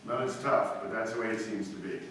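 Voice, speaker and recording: An actor playing an urbane, teasing society gentleman with a sardonic manner in an old-time radio comedy. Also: on the low side, a little fast, lightly acted. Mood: wry, needling. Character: suave, teasing male society sophisticate, wryly needling. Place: live stage performance in a hall. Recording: very echoey hall, distant mic, noisy.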